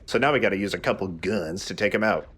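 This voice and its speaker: A man's voice: low voice, fast talker, a bit bright, husky. A man giving casual commentary as he plays through a video game. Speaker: let's player.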